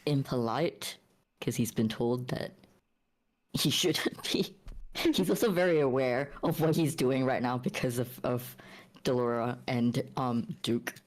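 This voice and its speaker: Casual man with a mid-pitched voice. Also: poor audio. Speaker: player. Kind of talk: casual third-person roleplay narration.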